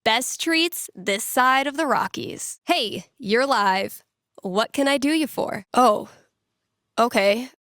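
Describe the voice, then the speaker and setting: A woman's voice: on the high side, slow pace, a bit bright, no echo, clean studio sound. An actress voicing a wry, playful young woman who hosts small-town radio in a video game, with a casual, irreverent, conversational delivery. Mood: sincere, supportive. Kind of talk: casual, jokey radio ad reads and call-in chat.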